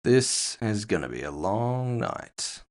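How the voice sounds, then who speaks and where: A man's voice: lowish voice, sing-song, slow, full character voice. A man playing a weary, dry-witted investigator in a cyberpunk audio story, with a sardonic manner. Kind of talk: first-person audio fiction dialogue.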